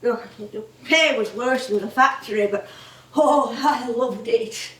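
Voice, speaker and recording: A woman's voice: fairly high pitch, measured pace, a bit bright. An actress playing a chatty, nostalgic older comedienne with a Northern English accent, rambling and exclaiming as she tells stories on stage. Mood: proud, reminiscing. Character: veteran comedienne, chatty, nostalgic older music-hall woman. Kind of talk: theatrical stage monologue and dialogue. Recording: echoey theatre stage from far off.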